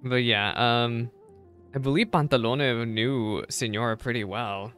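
A young man streaming games, giving casual reaction commentary in a chatty, halting way with repeated words. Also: mid-range voice, fairly bright tone, faint music behind. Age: young adult. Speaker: game streamer. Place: indoor streaming setup. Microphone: close desk microphone, headset worn.

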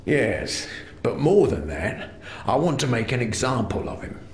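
Sly game crime boss, smug n scheming, voice swings around, echoey room w faint noise. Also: male voice, mid-range voice, sing-song, a little slow. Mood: menacing, smug. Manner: smug, mocking, conspiratorial. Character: shady, mocking male crime boss and fixer. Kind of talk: video game character acting.

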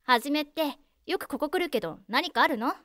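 A woman's voice: high voice, brisk, dry recording, clean studio sound. A woman playing a caring, teasing teenage girl in an audio drama, speaking in a youthful, casual way. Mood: bright, teasing. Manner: youthful, casual, expressive schoolgirl speech. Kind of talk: Japanese audio drama acting.

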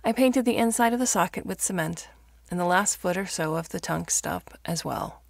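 A woman reading fiction aloud as a narrator, in a measured, expressive storytelling manner. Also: fairly low pitch, creaky, no room sound. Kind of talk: scripted audiobook-style narration of fiction.

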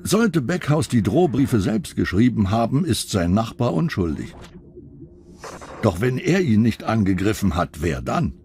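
A man's voice: on the low side, sing-song, unhurried, darkish tone, a little hiss or hum. A man narrating a scripted true-crime documentary as its narrator. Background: background music.

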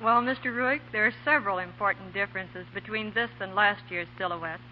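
A woman guest reads a script in a formal, precise 1940s radio manner. Her pitch is medium. The old broadcast is muffled and faintly noisy. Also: a little fast. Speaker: fashion editor, radio guest.

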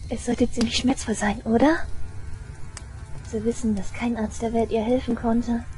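A woman playing an obsessive, vengeful young shrine maiden in a German audio drama, eerily tender, with breathy whispers and slow menace. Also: a little high, dark tone, a little hiss or hum. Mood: gloating, unhinged.

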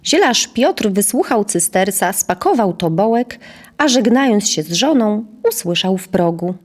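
A woman's voice: mid-range voice, animated, a little slow, a little mellow. A woman narrating a scripted historical tale as the storyteller of an audio drama.

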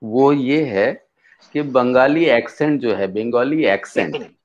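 A middle-aged man interviewing a guest over a video call, asking questions haltingly with many short acknowledgments. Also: medium pitch. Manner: halting, frequent "haan" acknowledgments. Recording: dull lo-fi video call audio.

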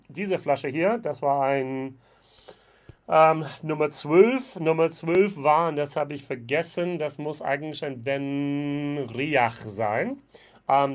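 A man in his forties from the United States with an American accent, speaking German as a second language in a casual whisky review vlog, chatty with many fillers. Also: fairly high pitch. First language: English. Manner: chatty, frequent fillers, gestures with bottles. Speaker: whisky vlogger. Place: indoor room with shelves of whisky bottles. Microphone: webcam at desk.

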